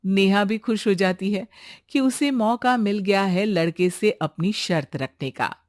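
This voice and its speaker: A woman's voice: medium pitch, a little slow, no room sound, clean studio sound. A woman reading scripted storybook narration as the narrator of a cartoon tale.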